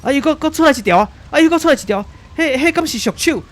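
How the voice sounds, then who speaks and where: A man's voice: extremely high pitch, expressive, quick pace, voice pushed up. A man with a Taiwanese accent voicing an alarmed radio field reporter who describes a terrifying sight in stammered exclamations. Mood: panicked, horrified. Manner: breathless exclamations, stammered repeats. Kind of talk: dramatized radio news report.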